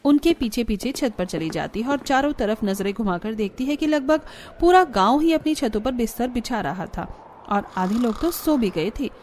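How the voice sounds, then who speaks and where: A woman's voice: a little high, lively intonation, normal speed, a little mellow, a little hiss or hum. A woman storyteller giving scripted narration for a cartoon story.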